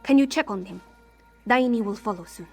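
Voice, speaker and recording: A woman's voice: mid-range voice, fairly quick, darkish tone, faint music behind, natural voice. A woman playing a wry, proud cat-folk smuggler with a theatrical, sardonic manner in scripted fantasy game dialogue. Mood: bitter, urgent. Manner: theatrical, sardonic, speaks of herself in third person.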